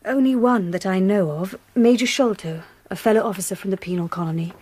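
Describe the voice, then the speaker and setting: Woman playing young english victorian governess, reserved n formal, mellow husky-soft voice, close dry sound, radio drama. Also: medium pitch, fairly quick, dry recording, natural voice. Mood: composed, earnest. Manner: composed, precise, formal. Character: reserved, well-bred young Victorian governess, earnest and composed.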